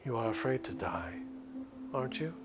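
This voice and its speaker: A man's voice: mid-range voice, animated, normal speed, over loud music, lightly acted. A man voicing a sinister, falsely sweet vampire woman who toys with her captive, in a visual novel. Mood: silkily coaxing. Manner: pompous, falsely sweet, menacing.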